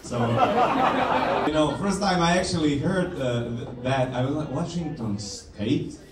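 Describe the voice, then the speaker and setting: A man from Croatia with a Croatian accent and Croatian as his first language, doing a wry, self-deprecating stand-up set with halting restarts. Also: on the high side, far from the mic, heavy background noise. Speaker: stand-up comedian. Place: comedy club stage. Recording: echoey club taped from afar with crowd.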